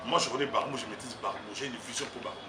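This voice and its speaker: Fast, emphatic Congolese man, distant and noisy. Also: mid-range voice. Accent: Congolese French. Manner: emphatic, rambling, mixes in Lingala. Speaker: political candidate, party communications secretary. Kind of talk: street interview. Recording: roomy, distant mic, noisy background.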